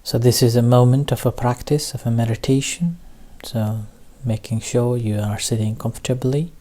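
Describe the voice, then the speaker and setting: A man leading a guided meditation as a teacher, speaking gently and haltingly in short phrases with long pauses. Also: medium pitch, steady tone. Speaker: Buddhist meditation teacher leading a group session. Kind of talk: guided meditation instruction, unscripted.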